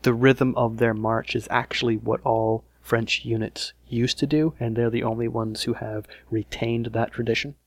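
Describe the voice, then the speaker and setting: A man in a mid-range voice, co-hosting a relaxed group chat. He slips in wry, dry quips, and the sound is close with no room echo. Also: medium pitch, moderate pace, few pauses. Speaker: podcast co-host. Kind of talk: casual roundtable chat.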